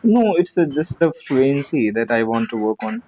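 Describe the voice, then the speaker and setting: A man learning spoken English in an online lesson, speaking politely and hesitantly with many pauses. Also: mid-range voice, sing-song, warm tone, lo-fi sound, slight background noise. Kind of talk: online tutoring conversation.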